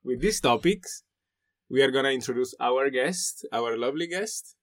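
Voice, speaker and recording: A young man co-hosting a podcast, upbeat and halting with fillers in a casual chat. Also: medium pitch, animated, dry recording, studio quality. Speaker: podcast co-host.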